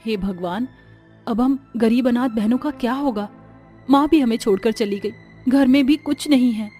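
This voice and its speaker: A woman's voice: a little high, normal speed, dark tone, soft background music, lightly acted. A woman playing a caring, protective young elder sister, a poor seamstress, in an earnest, soothing storybook manner. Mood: despairing.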